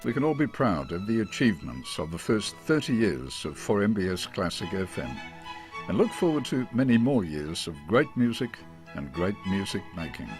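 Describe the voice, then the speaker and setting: An Australian man reading a scripted promotional narration in a measured, formal announcer's manner. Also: lowish voice, very animated. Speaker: radio station presenter. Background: music.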